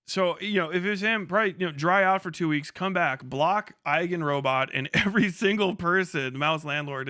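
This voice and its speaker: A man's voice: a little high, bright, forceful tone, smooth, no room sound, clean studio sound. A man hosting a livestream with casual, slangy, profanity-laden commentary. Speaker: livestream host.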